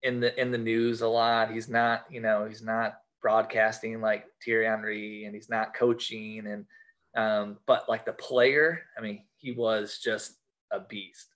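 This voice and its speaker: An American man with a fairly low voice and little movement in pitch. He chats casually as a guest in an interview, circling back over his phrases in a halting way. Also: level tone, a bit bright. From United States. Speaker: podcast guest, sports card collector.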